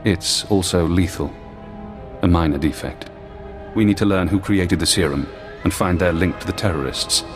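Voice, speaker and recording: An actor playing a brilliant, paranoid imprisoned intelligence analyst in a video game, speaking in measured, clipped commands. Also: male voice, deep voice, close to an everyday voice. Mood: calculating. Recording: long hall-like reverb, music behind. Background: game music.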